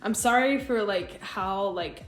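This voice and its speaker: A young woman with a congested, stuffy voice, casually touring her sketchbook in a tired, low-key way and swearing now and then. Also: unhurried, faint music behind. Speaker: artist showing a sketchbook.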